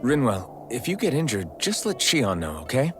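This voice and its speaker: An earnest young swordsman from a video game. His delivery is steady in temper and lively in melody. Faint ambient noise sits behind him. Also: mid-range voice, normal speed. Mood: earnest, resolute.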